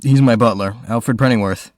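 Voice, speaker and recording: A man's voice: medium pitch, normal speed, no room sound, clean studio sound, lightly acted. A young man playing a serious, reserved rich teenage boy in an audio drama, speaking in an earnest, understated and slightly hesitant way. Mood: matter-of-fact.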